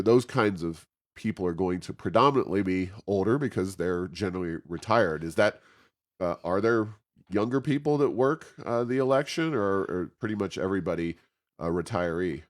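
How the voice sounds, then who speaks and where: A man hosting an informal interview, feeling his way through questions with hesitant, roundabout phrasing. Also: low-pitched. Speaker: interview host.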